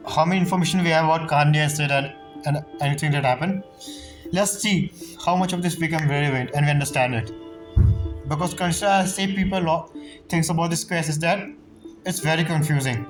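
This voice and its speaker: Female game streamer, low-key informal commentary, musing aloud, clear game music in the background. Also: brisk. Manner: informal, short musing reactions. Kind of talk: casual gameplay commentary. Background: video game music.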